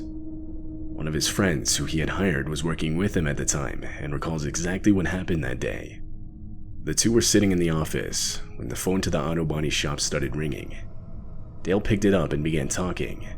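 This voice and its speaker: A man with an exceptionally deep voice and plenty of vocal fry narrates a true crime mystery from a script. Faint ambience runs behind him. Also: a little hiss or hum. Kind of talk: scripted documentary-style narration.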